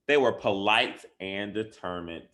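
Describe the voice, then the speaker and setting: A man reading a picture book aloud to children in an expressive way, with deliberate, emphatic phrasing and dramatic stresses. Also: on the low side. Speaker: school educator reading a bedtime story.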